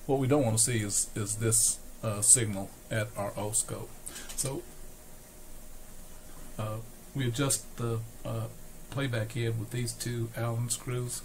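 A man giving an unscripted, hands-on repair demonstration, explaining step by step with hesitant pauses. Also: mid-range voice, fairly even intonation. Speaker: host, electronics repair demonstrator. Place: small cluttered indoor electronics workbench. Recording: noisy workshop recording with equipment sounds.

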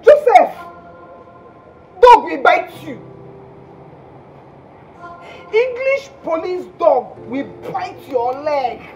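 A woman's voice: sits high, big pitch swings, slow, darkish tone, slight background noise, full character voice. An actress with a Nigerian accent playing a hot-tempered, theatrical jilted girlfriend in a phone-call drama. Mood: furious, cursing. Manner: theatrical, exclamatory, repetitive. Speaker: actor in a comic relationship drama.

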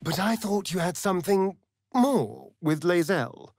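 A vain sly vampire for a video game, silky n theatrical, mocking slow drawl, super dry sound. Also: male voice, high voice, lively intonation, vocal fry, darkish tone, no echo, full character voice. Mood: teasing, disdainful. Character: sly, vain male vampire rogue, flamboyant and sardonic. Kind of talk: video game character voice lines.

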